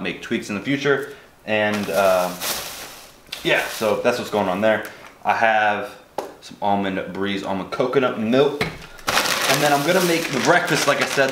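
A young American man chatting casually and informally in a home vlog, in a slangy, chatty way. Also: on the low side. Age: 20s to early 30s. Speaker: fitness food vlogger. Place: home kitchen, indoors. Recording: roomy echo, clear noise and clicks.

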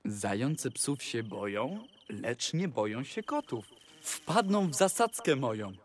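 A man playing a sly, boastful talking tomcat servant in a rhymed audio fairy tale, with theatrical, deliberate delivery. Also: mid-range voice, sing-song, slight background noise. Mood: eager, sly.

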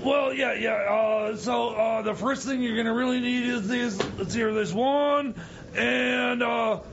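A man's voice: very high voice, unhurried, creaky, exaggerated voice. A man playing a frazzled, bumbling buddy on a prison visit in comedy radio theater, stammering and breaking off sentences. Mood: stammering, helpful. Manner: stammering, hesitant, scattered, constant broken-off starts. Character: Jeb, frazzled bumbling male friend visiting jailed pal. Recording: muffled lo-fi audio with loud noise.

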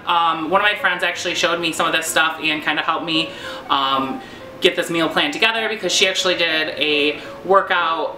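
A man's voice: sits high, bright, forceful tone. A young man from New York chatting casually about meal prep in his home kitchen, rambling with many fillers and self-deprecating asides. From New York, USA. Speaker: vlogger. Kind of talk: casual home cooking vlog. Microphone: fixed camera at close range. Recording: echoey kitchen with faint music.

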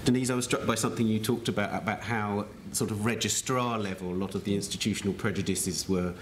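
A man moderating a panel discussion, asking halting, hesitant questions. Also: steady tone. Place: large conference room. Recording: echoey room, faint rumble.

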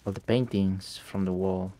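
A young man who is sick, his voice deeper than usual, commentating a game and reading notes aloud haltingly, with English not his first language. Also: fairly even intonation, warm tone. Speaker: gameplay streamer. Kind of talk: let's play commentary.

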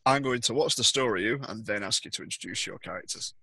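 A man's voice: on the low side, fast, creaky, sharp tone, breathy edge, no room sound. A young man narrating a tabletop roleplaying scenario as the game master, on an indoor call. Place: indoor room on a video call.

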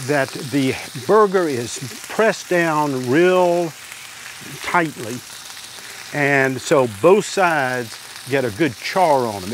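Older male host, relaxed homespun cooking demo, clip mic, loud sizzling griddle behind. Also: on the high side, slight background noise, low-quality recording. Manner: easygoing, homespun, talks while working at the griddle. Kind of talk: step-by-step cooking demonstration. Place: outdoor covered deck by a griddle.

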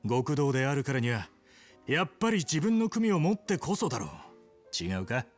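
An actor playing a brash, ambitious young yakuza in a video game cutscene, with rough, blunt, goading speech. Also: male voice, mid-range voice, big pitch swings, moderate pace, faint music behind, close to an everyday voice. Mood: pushy, impassioned.

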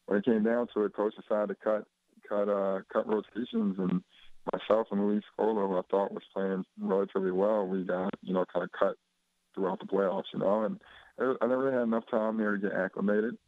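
A man's voice: fairly low pitch, fairly quick, creaky, no echo. A man in his twenties from Chicago, a basketball player talking casually by phone, laid-back and rambling with lots of fillers. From Chicago, USA. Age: 20s. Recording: dull phone line.

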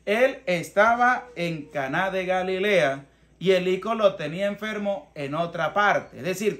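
A man's voice: sits high, fairly bright tone. A man in his thirties with a Venezuelan accent, a priest giving a plain, colloquial homily in an emphatic, teaching manner with rhetorical questions. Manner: emphatic, didactic, asks questions and answers them himself. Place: small rustic wooden room or shelter. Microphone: clip-on lapel mic.

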